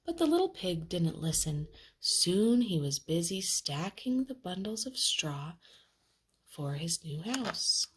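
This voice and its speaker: A woman reading a children's storybook aloud with expressive, dramatic narration. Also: lowish voice, takes it slowly, husky.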